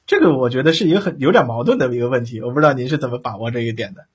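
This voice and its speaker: A man's voice: medium pitch, animated, rapid-fire, breathy edge. A man hosting a conversational interview, wordily circling his way toward each question. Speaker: interview host.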